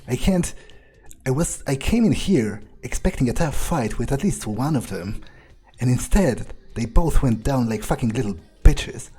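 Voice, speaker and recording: A man's voice: mid-range voice, expressive, unhurried, mellow, breathy edge. A man playing an arrogant, power-drunk vampire in an improvised in-character game monologue, sneering, profane and domineering. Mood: contemptuous, musing.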